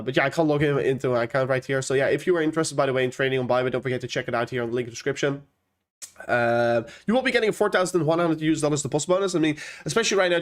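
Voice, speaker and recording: Young Dutch-accented man hosting a live stream, rambling and chatty, with a middle-pitched voice. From Netherlands. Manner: rambling, many fillers and restarts. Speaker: crypto livestream host. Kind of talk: casual livestream chat reading viewer questions.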